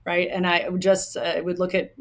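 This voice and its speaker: A young woman hockey coach with a soft voice, answering interview questions in an earnest, explanatory way with many ums and likes. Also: on the low side, completely flat delivery, normal speed.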